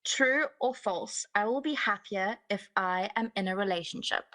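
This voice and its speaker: A woman's voice: medium pitch, normal speed, sharp tone. A woman hosting a casual podcast, reading out discussion questions in an upbeat way. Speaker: podcast host.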